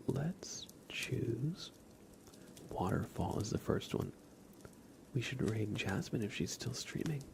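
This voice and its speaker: A soft-spoken man talks as an ASMR livestream host, slipping between hushed speech and breathy whispers. His pace is slow and unhurried, with a crackly fry in his mid-pitched voice. He addresses viewers casually and tends to repeat words.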